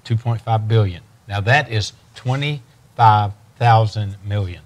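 An older man with a low-pitched voice speaks as a guest at a studio desk. He talks earnestly. He repeats his main phrases for weight. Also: unhurried. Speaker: ministry leader guest. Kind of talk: earnest interview talk. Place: TV studio set.

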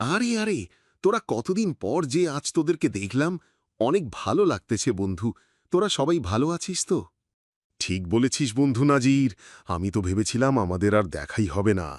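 A man playing an excitable village youth among friends in a cartoon, with exclamatory, bantering delivery. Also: medium pitch, moderate pace, dry recording, clean studio sound. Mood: bantering, anxious.

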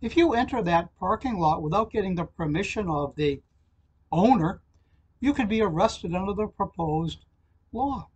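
An older man, reading a formal, measured informational address to camera from a small office. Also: high-pitched, lively intonation, breathy edge, poor audio. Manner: formal, measured, reads out numbers and web addresses slowly. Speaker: channel host giving a legislative alert.

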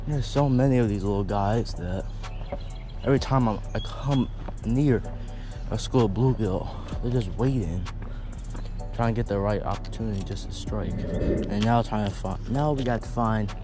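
Laid-back young man fishing a pond. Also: lowish voice. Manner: laid-back, talks to the fish and viewers. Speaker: fishing vlogger. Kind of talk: casual first-person fishing commentary. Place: outdoors at a park pond. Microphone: head-mounted action camera. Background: background music.

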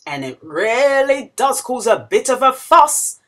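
A woman reading a picture book aloud to young children in an expressive, questioning storytelling manner. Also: on the high side, measured pace. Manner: expressive, exclamatory, asks the listener questions.

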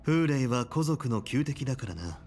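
An actor playing a dignified male general in a fantasy game, speaking calmly, formally and deliberately. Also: medium pitch, soft background music, lightly acted. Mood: measured, formal. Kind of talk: restrained game voice acting.

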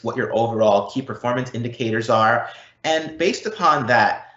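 A man giving an explanatory live online presentation as a technology consultant, often checking in with a quick "right?" or "okay?". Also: medium pitch, a bit bright. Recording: roomy, slightly dull online event audio.

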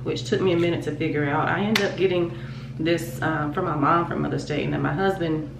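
A woman's voice: sits low, fairly even intonation, brisk, creaky, recorded from a distance, noisy background. A woman in her thirties with a stuffy nose, chatting casually and rambling to her viewers from her bathroom while doing her routine. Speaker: vlogger. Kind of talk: casual chat to camera. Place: home bathroom. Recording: roomy bathroom echo, voice slightly off-mic.

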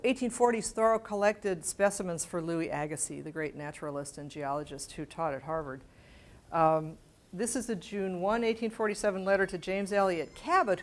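An older woman giving a public lecture, reading letters aloud in a measured, precise way and adding informal asides. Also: mid-range voice. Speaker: guest lecturer. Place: medium-sized library meeting room with seated audience. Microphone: lapel mic.

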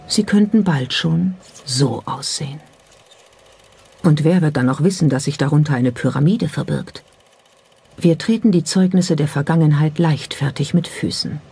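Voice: medium pitch, normal speed, creaky, mellow, breathy edge. A narrator reading a scripted documentary. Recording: music behind the voice. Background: background music.

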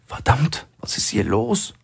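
A man's voice: a little slow, lightly acted. A man voicing a menacing male bank robber boss who gives curt, threatening orders in a thriller audiobook. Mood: angry, barking.

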